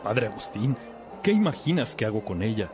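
An actor playing an obedient young novice, earnest and respectful, in a religious radio drama. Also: male voice, on the low side, big pitch swings, a little fast, music in the background. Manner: respectful, deferential.

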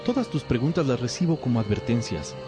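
A man's voice: mid-range voice, sing-song, fairly quick, natural voice. Man playing an earnest lovesick pupil, music behind. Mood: searching, respectful. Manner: earnest, hesitant, respectful. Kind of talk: religious radio drama dialogue.

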